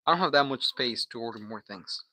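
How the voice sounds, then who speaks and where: Male livestreamer from Guatemala, Spanish native. Very sharp, bright tone, mid pitch, slangy and loose with swearing. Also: animated, a little fast, no echo. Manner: slangy, bro-heavy, swearing. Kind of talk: casual livestream chat.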